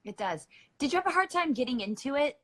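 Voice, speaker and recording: A woman's voice: high-pitched, very animated, fast, fairly bright tone. A middle-aged woman hosting a book club, talking in a chatty, playful way with lots of fillers. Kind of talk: casual chat.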